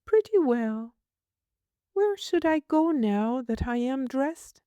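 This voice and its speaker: A woman's voice: high-pitched, expressive, slow pace, mellow, no room sound, muffled recording, voice pushed up. A woman voicing a small, solemn, homesick little girl in a storybook, speaking in precise, imperious, formal phrases. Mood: imperious, particular.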